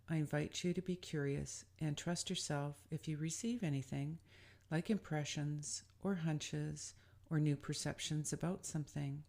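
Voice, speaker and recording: A woman speaking as a spiritual guide, leading a scripted guided meditation in a soothing, deliberate and gently instructive manner. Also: low-pitched, creaky.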